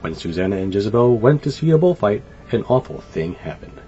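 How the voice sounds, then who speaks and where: A man reading a children's story aloud in an animated, expressive way. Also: lowish voice, fairly quick, a little hiss or hum. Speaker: storybook reader. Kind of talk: scripted children's story narration. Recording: dull lo-fi sound. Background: light music.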